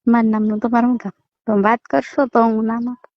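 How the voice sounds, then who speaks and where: A woman with a creaky edge to her voice chats casually over the phone. She sounds coy and evasive, and the recording is dull and dry. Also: on the high side, level tone, quick pace, no room sound. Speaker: phone caller. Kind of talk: casual private phone chat. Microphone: phone call recording.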